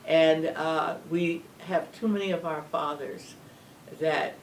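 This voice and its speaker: An older woman speaking as an interview guest, measured and emphatic, often asking rhetorical questions. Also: low-pitched, steady tone. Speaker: guest, former legislator. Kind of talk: earnest interview talk. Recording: distant mic with faint background hum.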